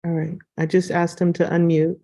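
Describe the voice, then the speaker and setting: A woman's voice: on the low side, flat delivery, normal speed, creaky, warm tone. A woman moderating an online meeting, chatting informally while she sorts out audio problems. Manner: chatty, handling tech problems.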